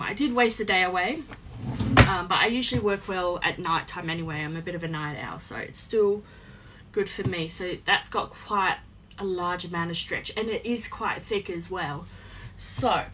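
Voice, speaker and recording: A young woman with a slightly croaky voice, chatting in a rambling way, full of ums, while she sews on a live stream from her home craft space. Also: medium pitch, background noise. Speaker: small business owner live-streaming crafts. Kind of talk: casual chat while sewing. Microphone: phone on a live stream.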